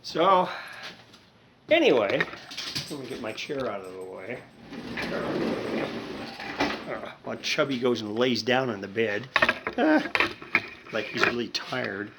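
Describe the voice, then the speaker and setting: A man chatting casually in an unhurried, rambling, folksy way, as a hobbyist host of a home repair video recorded indoors. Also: medium pitch, lively intonation, creaky, heavy background noise.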